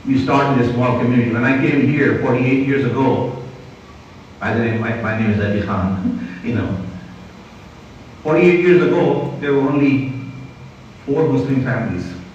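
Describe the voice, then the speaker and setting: Older non-native man addressing a community, slow with fillers; far from mic in an echoey hall. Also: medium pitch, darkish tone, highs cut off, a little hiss or hum. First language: not English. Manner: slow, deliberate, frequent "you know" and "uh". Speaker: community speaker.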